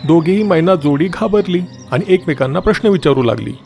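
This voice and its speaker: Man, storyteller, scripted children's narration, slightly high pitch, background music. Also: lively intonation, brisk, creaky, a little mellow.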